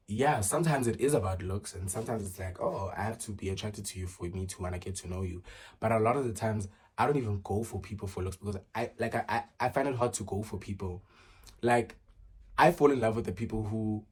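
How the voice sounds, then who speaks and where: A young man talks casually through viewer questions on his vlog. His voice sits on the low side, and his talk is animated and chatty, full of fillers and restarts. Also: husky. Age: early 20s. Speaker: vlogger. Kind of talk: casual get-to-know-me Q and A.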